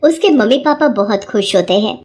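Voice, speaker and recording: A woman narrating a children's moral story in an even storybook manner. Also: mid-range voice, moderate pace, slight background noise.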